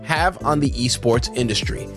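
A man's voice: medium pitch, moderate pace. A man reading a polished, scripted show introduction. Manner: polished, read-out delivery. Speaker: show announcer. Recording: music bed behind voice. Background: music.

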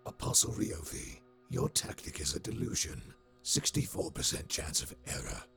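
A cold machine adviser from a sci-fi war drama. The voice is very deep and crackles with gravel, swinging widely in pitch while it delivers clipped, formal analysis. Faint music plays underneath. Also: male voice, big pitch swings, moderate pace, fairly bright tone, exaggerated voice. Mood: cold, analytical. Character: cold logic-bound male machine adviser to a war commander. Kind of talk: scripted audio drama acting.

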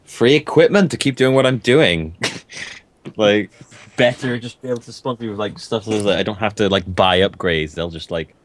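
A man's voice: lowish voice, normal speed, a little hiss or hum. A man co-hosting a casual group podcast, rambling with many restarts. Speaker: podcast co-host.

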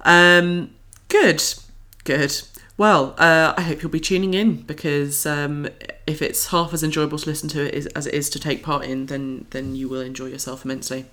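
A podcast host chatting casually and guiding the discussion in a hesitant, halting way. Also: medium pitch, little pitch movement, pushed and bright.

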